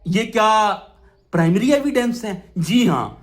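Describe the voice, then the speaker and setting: A man's voice: high voice, expressive, measured pace. A man with a Pakistani accent lecturing on law in a didactic way, posing questions and answering them, mixing in English terms. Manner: switches between Urdu and English legal terms. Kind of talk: explanatory law lesson with worked examples.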